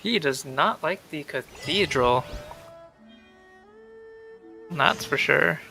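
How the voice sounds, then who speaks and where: A man's voice: on the high side, animated, slow, vocal fry, pushed and bright. A man doing casual gameplay commentary as a streamer, playful and slangy. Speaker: gaming streamer. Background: game music.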